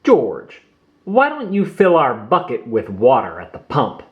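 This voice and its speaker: A man voicing a friendly adult guardian in a children's storybook, gently instructive and cheerful. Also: medium pitch, huge pitch swings, unhurried, narrow, tinny audio. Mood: kindly instructive.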